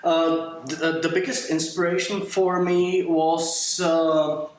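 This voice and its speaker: A man from Moravia with a Czech accent, giving interview answers in halting, non-native English as he searches for words. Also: a little high, flat delivery. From Moravia, Czech Republic. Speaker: filmmaker being interviewed. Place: remote video call from a living room. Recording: very roomy, echoey video call.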